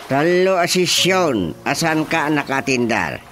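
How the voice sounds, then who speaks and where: A man acting a stern, mocking village chairman in an Ilocano radio drama comedy, interrogating deliberately with an Ilocano accent. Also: on the high side, big pitch swings, fairly bright tone. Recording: music behind the voice.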